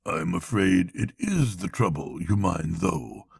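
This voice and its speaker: A man voicing a languid storybook toad, feeble and murmuring, playing the mournful martyr as if gravely ill. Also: sits low, expressive, takes it slowly, dry recording, exaggerated voice. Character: languid, self-pitying male toad feigning grave illness.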